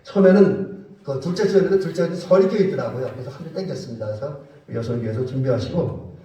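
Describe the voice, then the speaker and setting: Hoarse male pastor in an echoey church. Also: mid-range voice, fast, warm tone. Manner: plain, hesitant announcing with fillers. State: stuffy nose, slightly hoarse from a cold. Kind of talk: church announcements and benediction. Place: church sanctuary. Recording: echoey church hall, mic far off.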